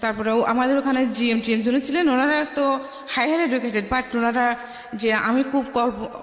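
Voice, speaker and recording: A woman with a Bangladeshi Bengali accent tells her life story as a radio interview guest, haltingly, restarting phrases and dropping in English words. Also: on the high side. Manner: halting, with repeated false starts and English words mixed in. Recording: echoey with long reverb.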